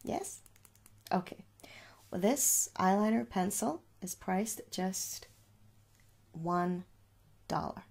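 A young woman speaking softly in an ASMR shop roleplay, coaxing the listener with little questions in a husky voice. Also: medium pitch, expressive, slow, long pauses. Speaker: ASMR performer playing a makeup shop seller. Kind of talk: soft-spoken ASMR roleplay. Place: small room at home.

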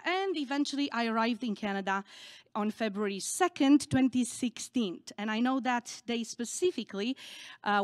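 A middle-aged woman, a native Romanian speaker, giving a candid, earnest personal story from a conference stage into a podium microphone, a little halting. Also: a little high, no room sound.

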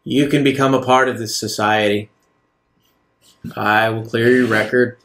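A man voicing a self-justifying, measured villain in his nineties, a wealthy recluse, in a tabletop game. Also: mid-range voice, fairly even intonation, a bit bright. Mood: persuasive, calm.

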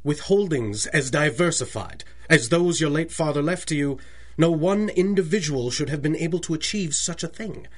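An actor playing an older bank president in a radio drama, formal, fussy, deferential and anxious. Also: male voice, fairly high pitch, normal speed, close to an everyday voice. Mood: grave, puzzled. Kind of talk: 1930s-style radio drama acting.

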